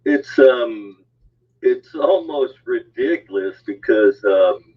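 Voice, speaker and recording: A man co-hosting a casual live stream, joking deadpan and stammering through restarts. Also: medium pitch, slow pace, husky. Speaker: co-host. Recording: tinny phone-like line.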